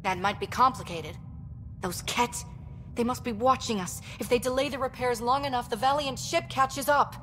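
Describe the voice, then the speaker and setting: Sci-fi game engineer, female actor: higher register, earnest, urgent, slightly hesitant, reverberant. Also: fairly even intonation, normal speed, fairly bright tone, a little hiss or hum, close to an everyday voice. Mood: worried, focused. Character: earnest, devout female alien starship engineer. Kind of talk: video game voice acting.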